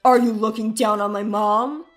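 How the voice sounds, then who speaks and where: A woman's voice: on the high side, fairly even intonation, moderate pace, soft background music, lightly acted. A woman voicing an accusing, defensive husband in a narrated family story, blunt and confrontational.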